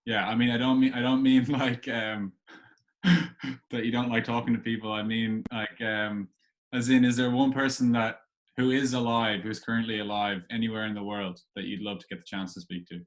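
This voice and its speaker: A man's voice: medium pitch, little pitch movement, normal speed. Hesitant man hosting a casual podcast. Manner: hesitant, rephrases questions as they go. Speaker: podcast host, interviewer. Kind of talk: casual interview chat.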